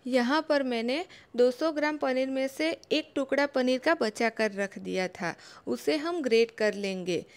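A young woman talks a listener through a home recipe step by step, calm and instructive. Her voice sits a little high and stays steady and homely throughout. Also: a little slow, clean tone. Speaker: home cooking channel host.

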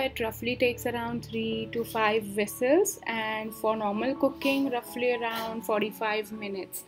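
Young woman calmly explaining meal prep. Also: fairly high pitch. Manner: calm step-by-step explaining. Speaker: cooking vlogger. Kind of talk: instructional cooking voice-over. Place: home kitchen, indoors. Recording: voice-over over clear background music. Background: background music.

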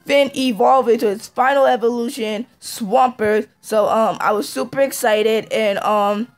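A man with a sore, hoarse voice talks over his gameplay slowly and haltingly, filling gaps with ums and clearing his throat. The sound is dry and close, picked up on a phone. Faint game music plays behind him. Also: high-pitched, fairly even intonation, no room sound. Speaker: let's play gamer. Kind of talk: casual gameplay commentary.

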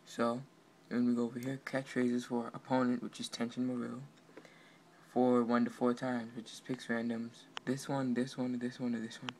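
Casual male tutorial narrator, halting and offhand, little pitch movement, faint background noise. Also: monotone, a little mellow. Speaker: amateur game developer. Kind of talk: casual screen walkthrough, explaining his project. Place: indoors at a computer. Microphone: handheld camera pointed at monitor. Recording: noisy amateur room recording.

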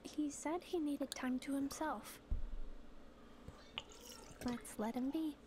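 A gentle high voiced teen game heroine, slow and devoted. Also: female voice, background noise. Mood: tender. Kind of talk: video game cutscene drama.

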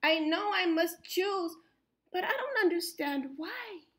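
High-pitched woman reading aloud, very slowly. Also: animated, fairly bright tone. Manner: deliberate, dramatic phrasing with emphasized words. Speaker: storyteller reading a picture book aloud. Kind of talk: expressive children's read-aloud of rhyming text.

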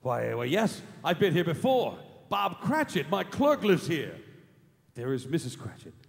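Pleading, frightened old miser, acted by a man: high, creaky, radio play in a reverberant hall. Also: very animated, exaggerated voice. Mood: fearful. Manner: anxious, halting, imploring. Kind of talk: staged radio drama reading. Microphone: stage mic on stand.

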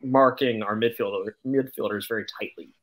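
A man's voice: on the low side, steady tone, a little fast. A man giving casual sports analysis as a panelist on a video call. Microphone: video call microphone.

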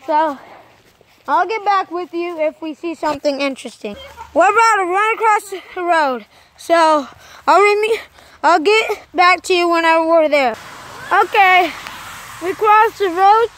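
A woman's voice: very high-pitched, measured pace. A young girl narrating a casual vlog while walking through rainy woods, filming on her phone. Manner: excited, chatty. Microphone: handheld phone.

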